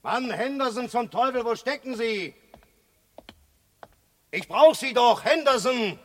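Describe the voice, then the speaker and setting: An agitated guard, calls out in a very high, strained pitch. His slow, drawn-out cries ring through a long reverberant space, in classic radio drama style. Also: lively intonation, heavily acted. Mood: impatient, alarmed. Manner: loud, agitated calling out. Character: male guard on duty, commanding, then terrified. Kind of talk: radio play acting. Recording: long echoing vault, faint noise.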